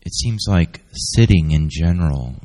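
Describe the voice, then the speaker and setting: Very deep, slow reflective man. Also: lively intonation, dark tone. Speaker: audience member. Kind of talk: reflective comment from the floor.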